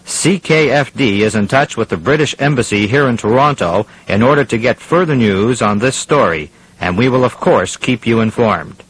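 A man's voice: medium pitch, moderate pace, hardly any pauses, a little hiss or hum, close to an everyday voice, low-quality recording. A man playing a serious radio news anchor, reading a formal scripted crisis bulletin. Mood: grave, formal.

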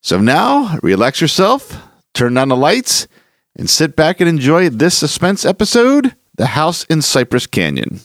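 Animated male host, dry sound. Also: fairly high pitch, measured pace, no room sound. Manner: presentational, inviting. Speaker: podcast host introducing vintage radio episodes. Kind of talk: scripted introduction. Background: background music.

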